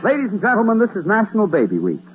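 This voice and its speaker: A man's voice: high-pitched, huge pitch swings, rapid-fire. A man announcing a 1940s radio comedy show in a grand, formal manner. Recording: tinny 1940s radio recording. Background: loud music.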